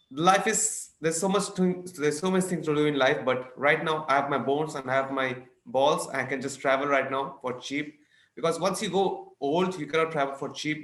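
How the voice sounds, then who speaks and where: A young man in his twenties from eastern India speaks English with an Indian accent; his first language is Bengali. He chats as an eager guest at a middle pitch. His voice comes over a remote call and sounds roomy. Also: a bit bright. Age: 20s. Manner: chatty, enthusiastic storytelling. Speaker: podcast guest. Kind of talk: casual interview chat.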